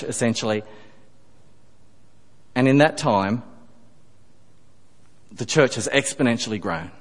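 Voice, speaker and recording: A man preaching a sermon, emphatic, rising on key phrases and dropping to quiet asides. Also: medium pitch. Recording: long reverb of a church hall.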